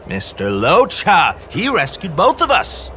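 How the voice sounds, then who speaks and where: An actor playing a pompous, earnest comic performer in a video game, speaking in a grandiloquent, exaggerated manner. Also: male voice, a little high, huge pitch swings, a little slow, a bit bright, slight background noise, exaggerated voice. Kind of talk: animated video game voice acting.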